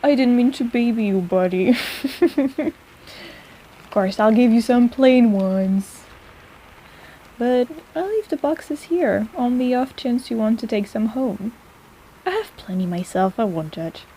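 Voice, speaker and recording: A woman's voice: fairly high pitch, animated, slight background noise, low-quality recording. A woman playing a caring, teasing, easily flustered apothecary shopkeeper who rambles in an intimate audio roleplay addressed to the listener. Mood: gentle, caring. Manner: chatty and rambling, halting, gently teasing.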